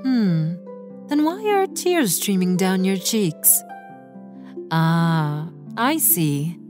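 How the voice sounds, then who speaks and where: Old fairy godmother for kids' cartoon played by a woman, motherly, gently bossy, very expressive intonation, faint music underneath. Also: fairly low pitch, very slow, full character voice. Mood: kindly, brisk. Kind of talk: children's cartoon voice acting.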